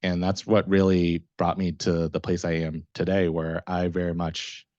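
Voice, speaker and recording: A young man with an American accent and a very low voice talks casually as a panelist about himself. The sound is close and dry, though a little dull. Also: little pitch movement, normal speed, a little mellow, no room sound. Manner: casual, chuckles often while talking. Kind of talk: informal personal account at a career panel.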